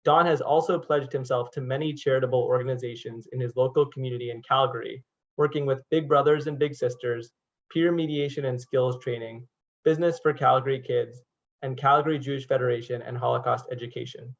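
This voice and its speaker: A man's voice: medium pitch, fairly even intonation, brisk. A man hosting an event, reading out a scripted introduction for a guest speaker over dull online call audio. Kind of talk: scripted introduction read aloud.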